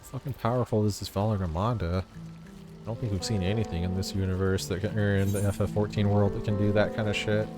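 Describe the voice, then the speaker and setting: A man's voice: lowish voice, fairly even intonation, brisk. A man giving casual gameplay commentary as a streamer, informal and half talking to himself, with some swearing. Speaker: game streamer. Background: game music.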